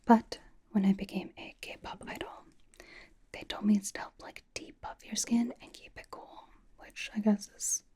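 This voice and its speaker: A young female pop idol, acted by a woman, whispers in an intimate ASMR roleplay. Her voice is soft and mellow with very little rise or fall in pitch. She is casual and teasing, yet reassuring as she pampers the listener. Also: little pitch movement, moderate pace, close to an everyday voice. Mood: playful, teasing. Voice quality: breathy whisper.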